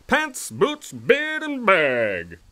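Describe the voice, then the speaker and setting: A man voicing a showy, excitable male elf who proclaims events like a ring announcer in a Christmas story. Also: high-pitched, drawn-out words, heavily acted. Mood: officious.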